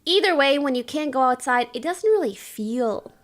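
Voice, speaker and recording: A young woman from Canada speaks in a loose, casual streaming chat, with a slight francophone Canadian accent. Her voice sits a little high and jumps around a lot in pitch. She leans on fillers and breaks into laughs. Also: expressive, normal speed. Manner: chatty, laughs often, many fillers like "like". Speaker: streamer reacting to videos. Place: home streaming room.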